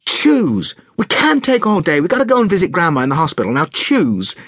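A man's voice: fairly high pitch, big pitch swings, moderate pace, fairly bright tone, breathy edge, no room sound, studio quality, pitched above the performer's own voice, exaggerated voice. A man voicing an exasperated, scolding mother of a small child in a story reading. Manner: impatient, scolding.

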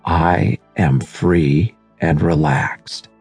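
A man narrating a scripted guided meditation in a soothing, measured, unhurried manner. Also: rumbling low, steady tone, a little mellow, slightly rough, no echo. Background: background music.

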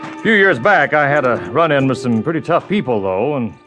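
A man playing a calm, steady Texas Ranger with an American accent, friendly but probing and folksy in a Western audio drama. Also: medium pitch, music in the background, lightly acted. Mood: reassuring, easygoing. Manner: folksy, measured questioning.

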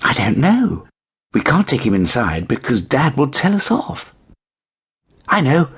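Man voicing caring little girl, pitched up. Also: huge pitch swings, measured pace, slightly rough, heavily acted. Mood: worried. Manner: earnest, caring. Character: two kind, worried little girls.

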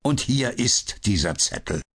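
A man's voice: mid-range voice, expressive, measured pace, fairly bright tone, pitched above the performer's own voice. A man reading an audiobook, voicing an indignant, bitter police inspector who barks his words. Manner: blustering and bitter. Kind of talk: audiobook character voice.

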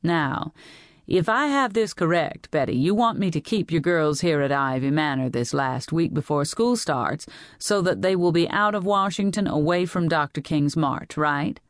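A woman voicing a gentle, lower-pitched grandmother. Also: lively intonation, normal speed, no room sound, studio quality. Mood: soothing, measured. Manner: gentle, mildly chiding. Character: gentle grandmother caught between daughter and granddaughters. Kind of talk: audiobook character voice.

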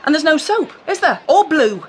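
An English actress playing an exasperated, hard-working young wife in a Restoration London radio drama. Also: female voice, high voice, big pitch swings, normal speed, a little hiss or hum. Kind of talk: full-cast radio period drama.

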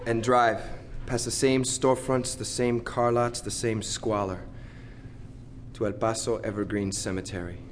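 An actor playing an idealistic, brooding Chicano lawyer in an audio drama, declaiming poetically. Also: male voice, mid-range voice, little pitch movement, measured pace, a little hiss or hum, lightly acted. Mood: brooding, bitter. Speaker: lead actor and narrator. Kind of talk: theatrical audio drama acting.

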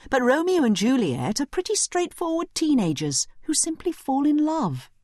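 A woman's voice: high-pitched, moderate pace, dry recording, clean studio sound. A woman giving scripted educational commentary as a narrator, conversational and explanatory in manner. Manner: animated, explanatory. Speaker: narrator and study guide commentator.

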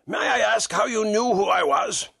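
A man voicing a devoted, excitable gentleman companion in a storybook, speaking emphatically and with exclamation. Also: high-pitched, normal speed, gravelly, husky, dry recording, studio quality, exaggerated voice. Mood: polite.

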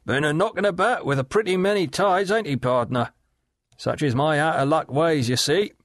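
A man voicing a leering, lowborn male river scavenger who talks in a coaxing, slangy way. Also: moderate pace, dry recording, studio quality, lightly acted. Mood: wheedling.

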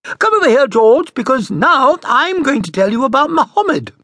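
A bold and languorous mother played by a male narrator holds forth to her little boy in an audiobook. The pitch is lifted high and swings widely. She sounds opinionated and sure of herself. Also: big pitch swings, moderate pace, sharp tone, dry recording, studio quality, full character voice. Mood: languorous, coaxing.

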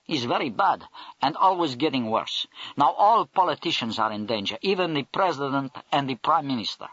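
A man's voice: mid-range voice, animated, moderate pace, a bit bright, dry recording, highs cut off. A man reading an audiobook, voicing a big, blunt Russian army major with broken English and a Russian accent.

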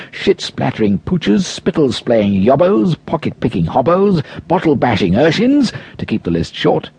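A man narrating an audiobook in a dramatic first person, theatrically savouring his words with long pauses. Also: medium pitch, gravelly, warm tone, husky, dry recording.

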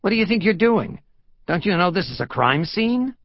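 A man voicing a gruff, disgruntled police sergeant in an audiobook, with a sharp, curt manner. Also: mid-range voice, expressive, normal speed, no room sound, dull audio. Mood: angry, barking. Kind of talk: audiobook character voice.

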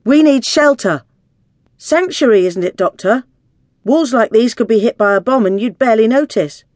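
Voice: mid-range voice, fairly quick, no echo, clean studio sound, close to an everyday voice. An audiobook narrator voicing blunt, indignant islander women with a scolding, colloquial manner. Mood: urgent, demanding. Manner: scolding, colloquial tag questions.

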